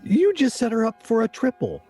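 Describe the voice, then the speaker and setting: An actor playing a competitive, irritable husband in a radio play, with a testy, literal-minded manner. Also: male voice, very high voice, wildly swooping pitch, normal speed, a little mellow, husky, faint music behind, heavily acted. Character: competitive, irritable husband who plays to win.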